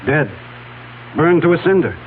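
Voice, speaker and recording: A man's voice: on the high side, expressive, lightly acted. Actor as shrewd policeman on lo-fi radio. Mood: dry, knowing. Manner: measured, probing, dry. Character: composed, shrewd, suspicious male police investigator. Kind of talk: 1970s radio drama acting. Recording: dull lo-fi radio recording, faint noise.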